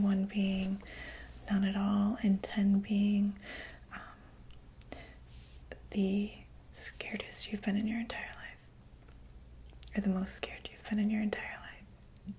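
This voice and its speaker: A woman whispering an ASMR medical roleplay as a doctor, gently reassuring and checking in with the listener. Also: medium pitch, completely flat delivery, slow pace, long pauses, a little hiss or hum.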